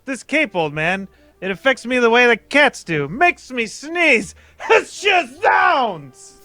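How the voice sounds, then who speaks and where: A man playing an eccentric, pompous, excitable sidekick with affected, quaint exclamations, in old-time radio drama style. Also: very high voice, takes it slowly, shouting, faint music behind, exaggerated voice. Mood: pompous, amazed. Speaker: amateur voice actor in live table read.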